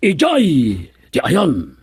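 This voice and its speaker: A man acting an eager rustic villager in an Ilocano radio comedy drama, with an Ilocano accent and animated, drawn-out calls. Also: sits high, slightly rough, full character voice.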